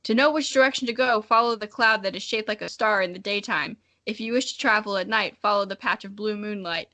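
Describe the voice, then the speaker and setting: A woman's voice: medium pitch, brisk, pushed and bright, close to an everyday voice. A woman voicing solemn, cryptic spirit animal guides from a storybook, in an instructive manner.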